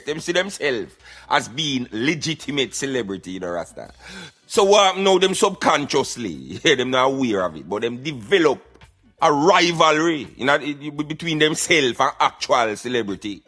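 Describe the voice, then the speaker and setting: An emphatic Jamaican Rasta played by a man holds forth on a satirical radio show. His voice is highish and forceful, preachy and streetwise, with faint music behind. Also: exaggerated voice. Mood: exasperated, insistent. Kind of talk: satirical radio rant in patois.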